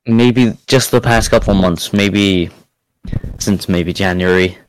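A man's voice: sits low, slow pace, slight background noise, low-quality recording. A young man chatting casually as a podcast guest, in a self-deprecating way. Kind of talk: casual chat.

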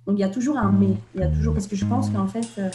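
A young woman from Vietnam, an author and interview guest, talking in a reflective way and often pausing on fillers and restarts. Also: medium pitch, fairly even intonation, echoey room, over music. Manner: hesitant, many fillers and restarts.

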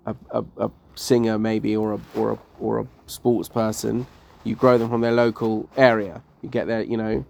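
A man talks loosely in a casual podcast chat. His voice has a gravelly, fry-edged texture, and his sentences start over and trail off as he thinks aloud. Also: on the low side, flat delivery, moderate pace, a little mellow, slight background noise. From Northwest London. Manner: rambling, many restarts and trailing sentences.

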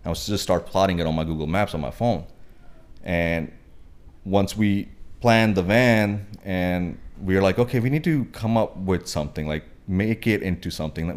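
Deep-voiced young man, rambling chat. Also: moderate pace. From Pakistan. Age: 20s. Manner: rambling, many fillers and restarts. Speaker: guest. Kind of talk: casual podcast chat.

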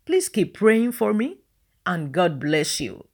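A woman's voice: on the low side, very animated, takes it slowly, darkish tone, slightly rough. A woman whose first language is Yoruba, hosting a daily devotional. She teaches, prays and signs off in an earnest way, calling her listeners friends. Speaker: devotional host.

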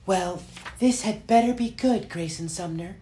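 A man's voice: high-pitched, a little slow, warm tone, roomy sound, slight background noise. A man voicing a haughty, disapproving young girl with an indignant, emphatic manner, as in a storybook reading.

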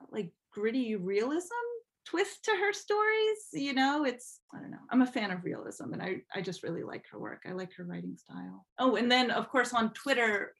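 A woman chatting casually as an interview guest, thinking aloud in an informal, halting way. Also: medium pitch, a bit bright. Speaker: podcast guest, fan fiction writer.

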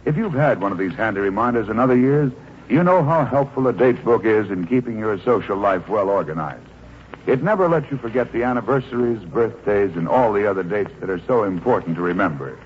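Uomo, pubblicità radiofonica anni '40 ovattata. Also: male voice, on the low side, lively intonation, fairly quick. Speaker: commercial announcer. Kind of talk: 1940s radio advertisement read. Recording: muffled, noisy 1940s radio recording.

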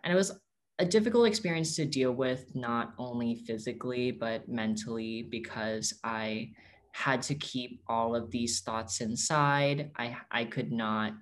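A man's voice: mid-range voice, a little slow, slight background noise. Earnest young man answering an interview. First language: Tagalog. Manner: earnest and reflective, with frequent self-corrections. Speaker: interviewee, activist.

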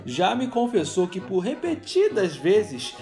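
A man's voice: high-pitched, wildly swooping pitch, a little slow, mellow, full character voice. Audio drama role: dignified, earnest angel played by a man, formal delivery, very varied intonation, music behind. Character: earnest, dignified male angel, devoted to saving humans.

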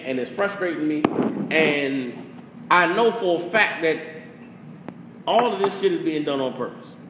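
A man who rants passionately as a podcast host, preachy and full of profanity. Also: sits high. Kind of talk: casual opinion rant. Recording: echoey room with faint hiss.

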